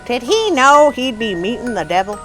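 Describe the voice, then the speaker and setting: A woman's voice: on the high side, big pitch swings, moderate pace, fairly bright tone, soft background music. A woman voicing a wisecracking, skeptical puppet sidekick who interrupts with snarky questions in a children's story show. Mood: skeptical heckling.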